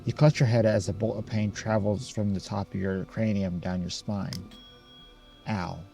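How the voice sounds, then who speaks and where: Deep-voiced man doing a let's play. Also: fairly even intonation, moderate pace, gravelly, warm tone, faint music behind. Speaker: gamer reading and reacting to a visual novel. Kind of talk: let's play commentary, reading game text aloud.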